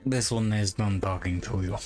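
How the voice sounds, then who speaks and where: A man voicing an aloof, proud cat-folk adventurer in formal, measured speech as game roleplay. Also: lowish voice, close to an everyday voice. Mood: aloof, musing. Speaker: gamer playing in character. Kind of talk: in-character roleplay game commentary. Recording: game music behind the voice.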